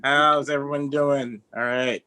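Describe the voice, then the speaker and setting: Bright-voiced Texan man doing stand-up. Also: mid-range voice, fairly even intonation, clean tone, no room sound. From Texas. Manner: halting delivery with many fillers. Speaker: stand-up comedian. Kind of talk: stand-up set.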